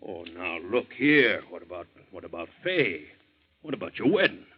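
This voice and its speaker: A man playing a steady, fatherly boat captain with an American accent and folksy, hesitant speech in a 1940s radio drama. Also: low-pitched, moderate pace, slightly rough, exaggerated voice. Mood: worried, soothing. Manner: folksy, hesitant, soothing repetitions. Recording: muffled 1940s radio recording.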